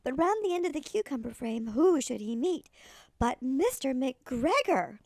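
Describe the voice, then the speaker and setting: A woman voicing a tiny old granny who reads a children's storybook expressively in a gravelly voice. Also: high voice, sing-song, unhurried, a little mellow, heavily acted. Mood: storytelling. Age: older. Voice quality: creaky, gravelly.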